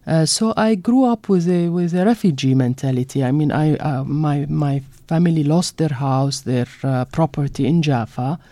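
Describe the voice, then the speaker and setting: Middle-aged woman with an Arabic accent, mellow, dry. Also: low-pitched, normal speed, hardly any pauses, dry recording. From Jordan (Amman). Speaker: author, interview guest. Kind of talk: personal storytelling in an interview. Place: broadcast studio.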